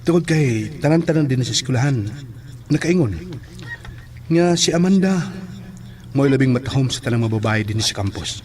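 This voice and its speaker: A man's voice: mid-range voice, lively intonation, normal speed, long pauses, a little mellow, lightly acted. An actor playing an awkward, polite and loyal young male student in a horror audio drama. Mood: uneasy. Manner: hesitant, apologetic.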